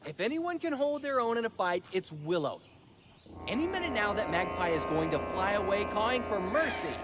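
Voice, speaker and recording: A woman's voice: mid-range voice, very animated, normal speed, over loud music, lightly acted. A woman voicing a cocky young boy insect in a children's cartoon, with a teasing, sarcastic way of talking. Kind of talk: animated children's cartoon acting.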